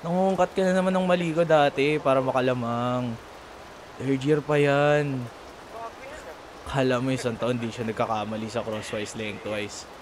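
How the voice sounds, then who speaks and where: A man's voice: mid-range voice, moderate pace, crowd noise, natural voice. A young man with a Filipino accent acting a sarcastic, teasing college rival in a playful, bickering audio roleplay. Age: young adult. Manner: taunting, playful, colloquial banter. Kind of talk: ASMR boyfriend roleplay, one-sided dialogue acting. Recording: steady rain noise behind voice. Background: falling rain.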